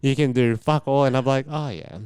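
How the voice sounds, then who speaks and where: A man's voice: mid-range voice, fairly even intonation, a little fast, a little mellow, clean tone, no echo, clean studio sound. A man in his late twenties co-hosting a podcast in casual, friendly banter. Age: late 20s. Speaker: podcast co-host.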